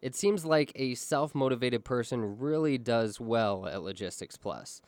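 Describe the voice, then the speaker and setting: A man interviewing employees, asking smooth prepared questions. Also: medium pitch, lively intonation, a little slow, a little mellow, no echo.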